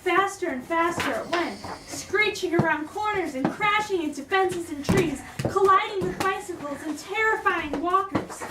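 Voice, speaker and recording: A woman reading a storybook aloud in an expressive, theatrical way. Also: extremely high pitch, normal speed, hardly any pauses. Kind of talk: dramatic storybook read-aloud. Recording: roomy, distant microphone with clear noise.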